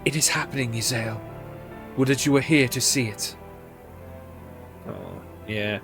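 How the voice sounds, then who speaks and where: A man's voice: mid-range voice, measured pace, vocal fry, over music. A man live-streaming a game, chatting casually and reading out the story dialogue as he plays. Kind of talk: live let's play, reading game dialogue aloud in character voices.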